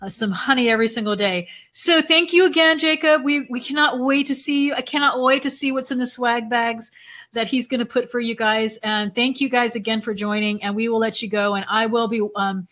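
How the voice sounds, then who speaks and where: A woman's voice: a little high, steady tone, brisk, a bit bright. Chatty woman host losing her voice. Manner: chatty, laughs often, many fillers. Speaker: event host interviewing a speaker. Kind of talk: casual live video chat.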